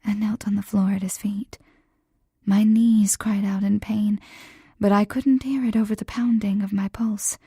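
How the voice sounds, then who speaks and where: A woman narrating a first-person romance audiobook in a soft, breathy whisper. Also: medium pitch, little pitch movement, unhurried, no echo, studio quality.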